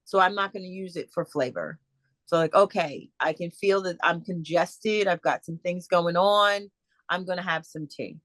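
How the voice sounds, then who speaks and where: A woman speaker answering audience questions in a casual, halting way, with many fillers and restarts over a video call. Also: on the low side, a bit bright, no echo. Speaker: guest speaker.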